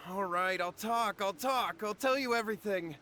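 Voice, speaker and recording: A man's voice: sits high, normal speed, sharp tone, a little hiss or hum. A man playing a nervous, cowardly young townsman in a video game, flustered and pleading as he talks. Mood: nervous confession.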